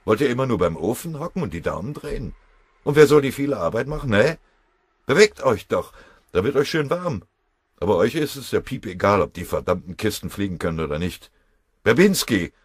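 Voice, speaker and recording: Give the listener a blustering wartime foreman, acted out by a male narrator, bossy yet fatherly. He grumbles and barks sarcastic orders in colloquial speech, with big swings of pitch. The voice is husky and closely, drily recorded. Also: very animated, a little fast, no echo, full character voice. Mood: blustering, scolding. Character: gruff, blustering German airfield foreman, bossy but fatherly.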